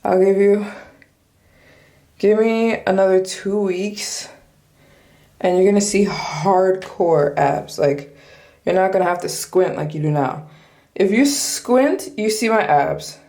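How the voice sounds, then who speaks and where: A woman's voice: medium pitch, slow pace, pauses often, dark tone. A woman in her twenties vlogging casually to camera, bubbly and joking, laughing often and jumping between topics. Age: 20s. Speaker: travel vlogger.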